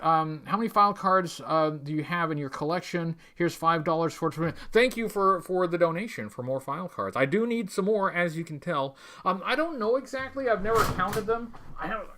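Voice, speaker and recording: A man hosting a casual livestream, talking in a rambling, halting, stop-start way with a wry, tongue-in-cheek manner as he chats with viewers. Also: sits high, fairly bright tone. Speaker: livestream host. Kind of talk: casual livestream chat reading viewer messages.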